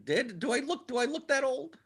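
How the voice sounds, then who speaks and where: A man's voice: very high-pitched, sing-song, a little fast, gravelly, a bit bright, slightly rough. A man in his early forties hosting a casual livestream sports show, repeating himself and tagging sentences with "right?" in a self-deprecating, complaining way. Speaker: show host. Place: indoors at a computer on a split-screen video call.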